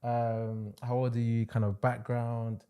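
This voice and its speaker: A man's voice: medium pitch, unhurried. Young male podcast host, casual and loose, laughs easily, talks with plenty of fillers. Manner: relaxed, laughs often, lots of fillers. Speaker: podcast host and online fitness coach. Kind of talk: casual interview chat.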